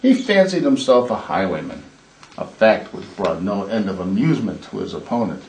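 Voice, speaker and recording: A man's voice: on the low side, big pitch swings, normal speed, darkish tone, pitched below the performer's own voice. A man reading scripted narration as the storyteller of an old-fashioned western radio play. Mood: measured storytelling. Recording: roomy, distant mic, faint noise.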